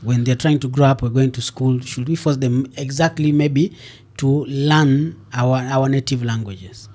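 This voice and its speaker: A man with a Kenyan accent leads a podcast conversation as host. He keeps up a steady run of "yeah" and "mm-hmm" while his guest talks. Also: mid-range voice, unhurried, soft background music. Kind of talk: interview conversation.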